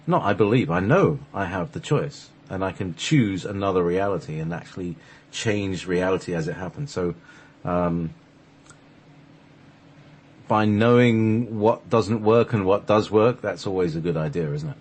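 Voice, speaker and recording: Male host, low and husky, loose conversational delivery, reflective and rambling. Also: measured pace. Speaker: talk show host. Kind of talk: casual conversational talk.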